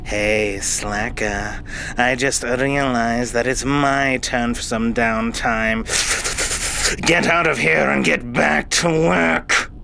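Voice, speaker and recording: A sneering, bossy insectoid alien, voiced by a man for an audio drama. He drawls very slowly with a gravelly, bright edge. He is cruel and lazy. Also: mid-range voice, steady tone, slight background noise, heavily acted.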